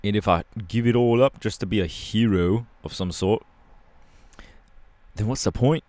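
Man playing a low-voiced punk rebel, close-up. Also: normal speed, a little mellow, dry recording, lightly acted. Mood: irritated, defiant. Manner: slangy, casual, drawling with hesitations. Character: laid-back rebellious young British punk superhero bassist, irritable. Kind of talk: ASMR audio roleplay.

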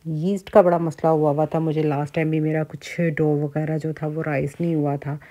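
Chatty Pakistani woman, mellow flat voice. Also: low voice, moderate pace. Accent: Pakistani Urdu. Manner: chatty, conversational, frequent fillers and asides. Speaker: home cooking vlogger. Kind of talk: casual cooking and grocery vlog.

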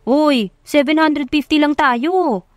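A woman's voice: sits high, slow pace, no room sound, studio quality, pitched above the performer's own voice. A woman playing a caring but bossy old neighbourhood woman with a fretting, familiar manner in an audio love story. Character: fussy, caring old neighbourhood woman who hires helpers.

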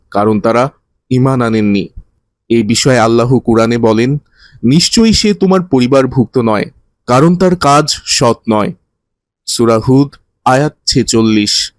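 Man playing kindly male teacher, earnest and didactic, mid-pitched, dry close sound, children's cartoon moral storytelling. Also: no echo, natural voice.

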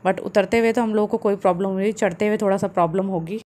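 A woman, calmly narrating a family travel vlog as a voice-over. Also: mid-range voice, quick pace, loud music behind the voice. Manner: calm, explanatory, steady. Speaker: travel vlogger.

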